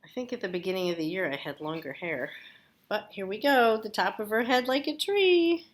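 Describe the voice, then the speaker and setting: A woman's voice: medium pitch, expressive, normal speed. A woman teacher reading a storybook aloud expressively, with casual asides spoken directly to young listeners.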